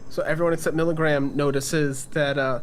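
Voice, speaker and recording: A man's voice: a little high, steady tone, fairly quick, soft background music. A man running a tabletop game as game master, telling the story in a casual, improvised way.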